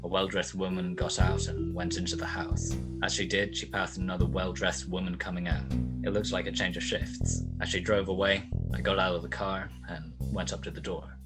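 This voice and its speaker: A hardboiled, wry male private eye from a 1940s radio drama, acted by a man. His voice sits low and his delivery is laconic. Loud music plays behind him. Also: flat delivery, normal speed. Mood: cool narrating. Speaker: lead actor and narrator. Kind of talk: 1940s detective radio play acting. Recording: music behind the voice, home-recorded radio play.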